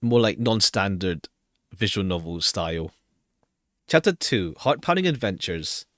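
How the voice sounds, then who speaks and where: A man's voice: low-pitched, lively intonation, measured pace, a bit bright, no room sound, clean studio sound. A man streaming a game, chatting casually and reading dialogue aloud in a rambling, chatty manner. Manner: rambling, chatty, repeats himself. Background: background music.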